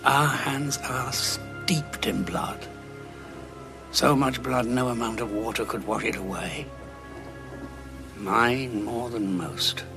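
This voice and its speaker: An actor playing a grim, war-weary Time Lord with a gravelly voice, deliberate and dryly sardonic, in an audio drama. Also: male voice, mid-range voice, steady tone. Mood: grim, haunted. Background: background music.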